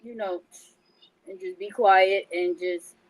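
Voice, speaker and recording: A woman from New York with a high, childlike baby voice who talks bluntly and with force. She is a podcast co-host in casual conversation. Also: steady tone, slow pace, poor audio. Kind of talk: casual chat.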